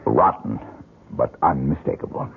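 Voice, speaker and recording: Old-time radio drama: a jaded, bitter painter, low-pitched, dry and curt, through narrow muffled vintage sound. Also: male voice, expressive, measured pace, gravelly, slightly rough, full character voice. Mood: bitter, resigned. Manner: terse, sardonic, dry. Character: bitter, world-weary, guarded male failed painter.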